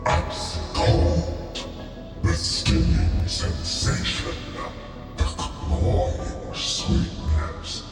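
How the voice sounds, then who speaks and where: A man voicing an ancient, proud flaming giant spirit in a game, slow and otherworldly. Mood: brooding. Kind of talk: game voice acting. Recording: heavy echo, long reverb, distant, loud music.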